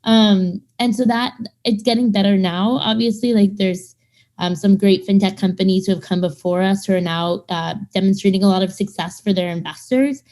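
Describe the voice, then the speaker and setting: A woman's voice: mid-range voice, steady tone, normal speed, darkish tone. A woman in her thirties, a startup founder, talking casually in an interview, with many fillers and quoted asides. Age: 30s. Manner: chatty, lots of fillers, acts out quoted people.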